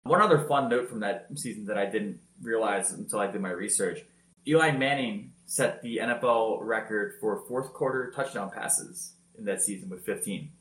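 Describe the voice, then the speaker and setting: A man telling football highlights in a casual podcast chat, energetic and loud-voiced. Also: normal speed, echoey room, rough recording. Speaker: podcast co-host. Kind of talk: casual sports recap.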